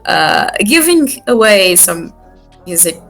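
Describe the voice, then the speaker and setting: A woman whose first language is not English, a music producer guest speaking in halting, unhurried casual chat. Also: on the low side, very animated, vocal fry, a bit bright. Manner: unhurried, halting, slightly non-native phrasing.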